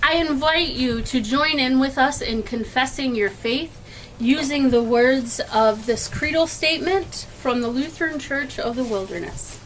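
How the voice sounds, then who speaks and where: A woman leading worship, reciting a creed in measured phrases. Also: sits high, a bit bright, a little hiss or hum, low-quality recording. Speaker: worship leader.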